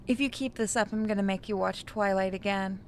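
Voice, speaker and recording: A woman voicing a calm, possessive female kidnapper in a horror game, dry and quietly threatening. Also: medium pitch, fairly quick, dry recording, lightly acted. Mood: annoyed, threatening.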